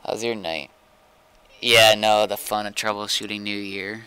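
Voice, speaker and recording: A man's voice: lowish voice, level tone, unhurried, bright, forceful tone, clean tone, rough recording. A man talking casually while troubleshooting a computer on a livestream.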